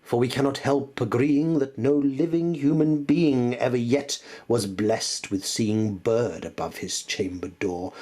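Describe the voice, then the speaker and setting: A man giving a dramatic recitation of a gothic poem, theatrical and often dropping to a hushed near-whisper. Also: fairly low pitch. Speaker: poetry reader. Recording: noticeable background noise.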